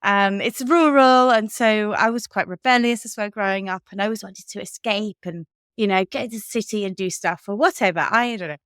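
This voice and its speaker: A woman's voice: medium pitch, brisk, few pauses, fairly bright tone, clean tone, no echo, clean studio sound. A woman in her thirties with an English accent, co-hosting a podcast and chatting candidly, laughing often. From Switzerland. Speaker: podcast co-host. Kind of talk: casual chat.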